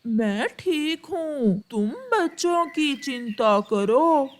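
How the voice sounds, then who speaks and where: Woman as a kind, slow-spoken male crow. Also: high voice, expressive, slight background noise. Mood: reassuring. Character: kind, dutiful male crow, self-sacrificing.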